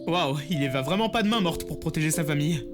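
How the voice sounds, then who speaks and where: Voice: medium pitch, lively intonation, fast, over music, natural voice. A performer voicing a brash, slangy, incredulous teenage boy in a French anime fan dub. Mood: amazed.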